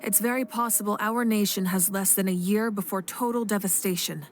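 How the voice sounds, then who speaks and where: A woman's voice: mid-range voice, fairly even intonation, brisk, noisy background, lightly acted. A woman playing a composed, commanding female leader in a dramatic video game.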